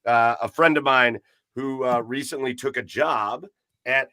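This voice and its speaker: A man hosting a live podcast with an upbeat, emcee-like delivery. His voice sits at an ordinary pitch and sounds dry and close to the mic, as if recorded in a small, deadened room. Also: fairly even intonation, a little slow, bright, forceful tone, no echo. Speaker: podcast host. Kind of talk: casual livestream chat.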